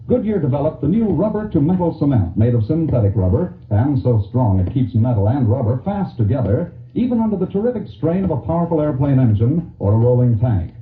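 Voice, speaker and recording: A man announcing a 1940s radio commercial in a scripted sales style. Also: medium pitch. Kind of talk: 1940s radio advertising read. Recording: tinny, echoey 1940s radio recording.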